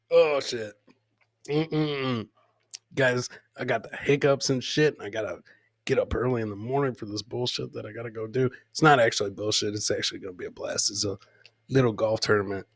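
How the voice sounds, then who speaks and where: An American man hosting a comedy podcast, loudly commenting on funny clips in a boisterous way, swearing freely and throwing out catchphrases. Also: medium pitch, fairly even intonation, normal speed, dry recording.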